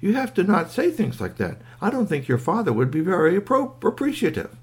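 Man voicing a high, husky little toy robot. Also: huge pitch swings, brisk, a little mellow, full character voice. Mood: gently scolding. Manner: insistent, repeats phrases emphatically. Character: small, earnest talking squeaky toy robot, chiding and fretful.

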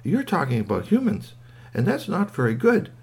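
A small talking toy robot from a children's story, played by a man. The voice is pitched high and swings up and down, with a husky edge. It is earnest and fretful, scolding and insisting through repeated phrases. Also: wildly swooping pitch, normal speed, heavily acted. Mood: gently scolding.

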